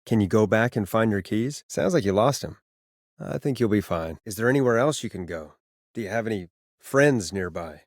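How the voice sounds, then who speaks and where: An actor playing a wry, jaded male radio phone-in host in a video game, sardonic and expressive, moving between showy patter and casual talk. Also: lowish voice, no room sound, clean studio sound. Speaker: late-night radio host.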